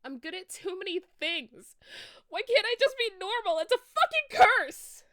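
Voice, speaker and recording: A woman's voice: extremely high pitch, big pitch swings, unhurried, pushed and bright, no echo, studio quality, pitched above the performer's own voice, exaggerated voice. A woman voicing a melodramatic, vain teenage goth girl who swears through overwrought outbursts. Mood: shrieking.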